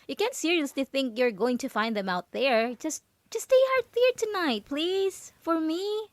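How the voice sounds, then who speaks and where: Woman acting a high-pitched possessive yandere roommate. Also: very animated, a little slow, dry recording. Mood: anxious pleading. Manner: nervous stammering, pleading, coaxing. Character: possessive, overprotective yandere roommate, clingy and manipulative. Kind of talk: ASMR roleplay acting.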